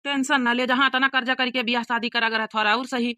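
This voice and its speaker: A woman's voice: fairly high pitch, steady tone, quick pace, pushed and bright, no room sound, studio quality. A woman voicing a protective, generous young married son in a cartoon comedy, earnest and reassuring, with an Awadhi accent.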